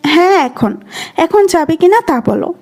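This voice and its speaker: A woman's voice: very high-pitched, big pitch swings, moderate pace, darkish tone, soft background music, full character voice. A woman playing a bossy, jealous and playful young woman in love in an audio drama. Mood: playfully demanding. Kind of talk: dramatised audio story dialogue.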